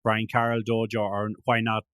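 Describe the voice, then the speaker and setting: A man's voice: lowish voice, completely flat delivery, moderate pace, fairly bright tone, clean tone, no room sound, clean studio sound. A man with an Irish accent hosting a casual interview, rambling with many restarts and fillers. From Ireland. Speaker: podcast host.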